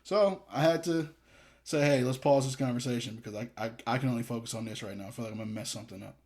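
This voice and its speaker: Rambling young dad podcast co-host. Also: male voice, medium pitch, fast talker, a little mellow. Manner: rambling, hesitant, self-deprecating jokes. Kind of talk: casual chat.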